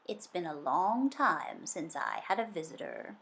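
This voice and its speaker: A huge old male fish speaks from a storybook , acted out by a woman reading aloud. The voice is lowered, soothing and unhurried. He comes across as wise and gentle. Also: big pitch swings, a little hiss or hum, heavily acted. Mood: gentle, welcoming. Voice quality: low, soothing.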